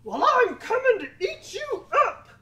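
A woman voicing a grumpy, bullying male troll in a children's story, with loud, drawn-out delivery. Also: very high-pitched, sing-song, pitched above the performer's own voice, full character voice. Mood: roaring, menacing. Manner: loud, drawn-out, roaring demands.

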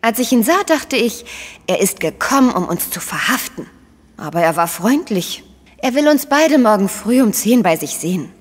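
A woman's voice: on the high side, animated, normal speed, in a large hall. An actress playing an investigator's frank, sceptical female partner in a crime radio drama. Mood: subdued, uneasy.

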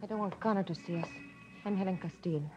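Actress as a fast-talking anxious secretary. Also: female voice, lowish voice, over music, close to an everyday voice. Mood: worried. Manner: urgent, worried. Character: anxious, earnest young secretary. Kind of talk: 1950s radio noir acting. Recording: noisy muffled vintage radio recording.

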